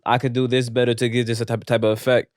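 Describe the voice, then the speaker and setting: A young man talking at a fast clip in relaxed podcast banter. His voice is smooth and clean. The sound is dry and close. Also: medium pitch, no room sound. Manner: quick slangy talk with frequent "you feel me" and "bro". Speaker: podcast host. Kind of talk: casual chat.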